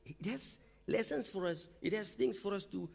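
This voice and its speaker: A man from Fiji gives a talk on teaching at a university forum. His voice sits high and carries a gravelly rasp. He keeps it conversational, pausing often to ask the audience "okay?". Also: darkish tone. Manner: conversational, frequent "okay?" check-ins. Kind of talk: conference talk. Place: lecture hall or forum room.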